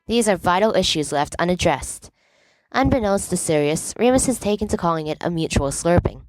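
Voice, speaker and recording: A woman's voice: lowish voice, lively intonation, a little fast, dry recording, rough recording. A woman reading fiction aloud as an audiobook narrator, with a wry, emphatic comic delivery. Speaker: volunteer audiobook reader of fan fiction. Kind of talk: expressive scripted narration of a story.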